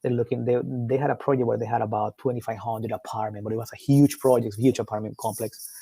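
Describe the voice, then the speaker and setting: Mellow-voiced man presenting a product webinar. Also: medium pitch, fairly even intonation, vocal fry, slightly rough, no echo. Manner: halting, often repeats and rephrases words mid-sentence. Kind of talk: informal technical product presentation.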